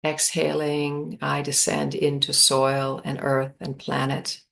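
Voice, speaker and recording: Slow, low-voiced woman guiding meditation. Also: vocal fry. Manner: slow, calm, spacious phrasing with long pauses. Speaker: meditation guide. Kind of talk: guided meditation.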